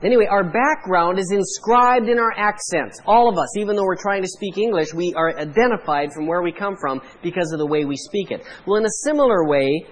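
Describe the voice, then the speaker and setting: Man with a canadian accent, pastor, high-pitched, measured sermon delivery, emphatic pauses.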